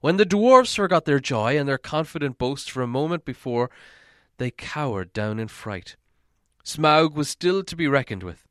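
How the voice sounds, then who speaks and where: A man reading a fantasy story aloud as scripted narration, in a theatrical, deliberate manner. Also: a little high, lively intonation, fairly bright tone, dry recording, clean studio sound. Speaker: audiobook reader.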